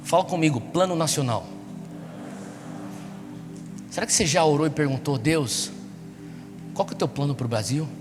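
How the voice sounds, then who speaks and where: Male Brazilian preacher, highish voice, urging a young crowd through repeated questions, clear music underneath. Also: normal speed, long pauses. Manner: exhortative, rhetorical questions and repetition. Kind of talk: sermon to a young congregation. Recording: echoey large hall, music behind.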